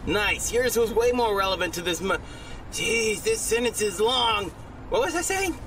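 Squeaky manic robot bunny. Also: male voice, normal speed, slight background noise. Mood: chatty. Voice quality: squeaky, cartoonish. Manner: excitable, rambling. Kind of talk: animated game cutscene acting.

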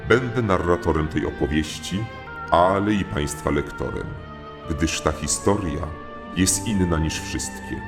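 A man's voice: low voice, expressive, unhurried. A man narrating a comic audio fairy tale as a formal, courteous storyteller. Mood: measured. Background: music.

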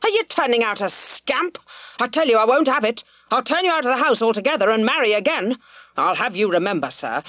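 A woman's voice: mid-range voice, no room sound, natural voice. A woman reading aloud, voicing an older country squire who is ponderous and blustering, scolding his son. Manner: shouting, scolding.

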